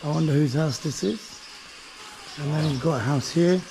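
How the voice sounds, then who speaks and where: Man, chatty camera operator, slightly high voice, hollow room echo. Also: moderate pace, gravelly. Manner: chatty, prompting, filming and asking questions. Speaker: co-vlogger filming. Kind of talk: casual chat. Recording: roomy echo with faint noise.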